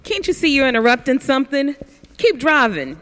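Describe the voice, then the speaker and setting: Casting: woman to play imperious, impatient passenger barking orders, fairly high voice, radio-play style. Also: big pitch swings, a little fast, a bit bright. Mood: bossy, exasperated. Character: bossy, exasperated, imperious passenger ordering the driver on.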